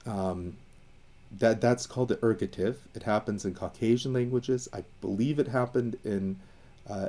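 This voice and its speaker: A man from Iran talks through language history, with a low, mellow voice. He thinks aloud, full of fillers and false starts. He slows down to dwell on each term he defines. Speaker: educator on Assyrian language and identity. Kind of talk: casual explanatory talk.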